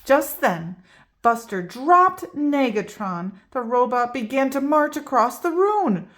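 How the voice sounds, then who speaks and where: A woman reading a picture book aloud for preschool children, slow and expressive. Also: sits high.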